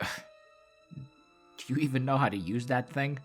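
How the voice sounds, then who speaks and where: A man's voice: mid-range voice, takes it slowly. A man voicing a cynical, threatening unicorn pony bandit with a sarcastic, taunting delivery in an audiobook. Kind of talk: audiobook character voice. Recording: soundtrack music under the voice.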